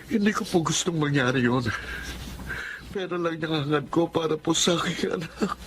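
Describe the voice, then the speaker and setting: A desperate Filipino father in a radio drama, played by a male actor, pleads with humble deference. His voice sits a little high for a man and carries remorse. Clear noise runs behind it. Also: moderate pace, lightly acted. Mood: remorseful.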